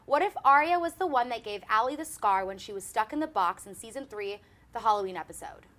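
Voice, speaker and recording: A young woman hosts a relaxed fan chat, chatty and giggly and full of "um" and "like". Her voice sits a little high. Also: fast talker. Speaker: host.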